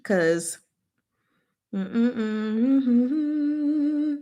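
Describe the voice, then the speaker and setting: A woman's voice: fairly high pitch, expressive, extremely slow, warm tone, muffled recording. Playful chatty lady going through book scenes, giggly, super casual, kinda acts out the lines. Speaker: host of a book discussion.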